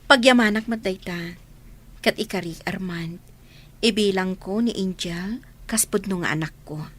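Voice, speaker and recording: An actress playing a kind, gentle woman who reassures a child and plans to be her stepmother. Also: mid-range voice, moderate pace, close to an everyday voice.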